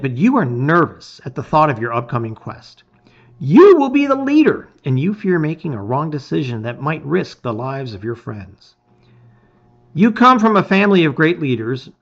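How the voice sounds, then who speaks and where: Man, dramatic gamebook narration, theatrical emphasis, slightly high voice, very lively pitch, muffled lo-fi recording. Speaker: host reading a gamebook aloud.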